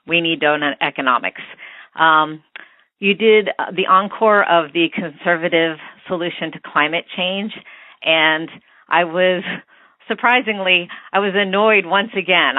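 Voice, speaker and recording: Female American caller, tinny phone line, dry sound, medium pitch, informal and halting, thinking through her opinions aloud. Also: no room sound. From United States. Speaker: podcast listener leaving a voicemail. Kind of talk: informal spoken opinion message.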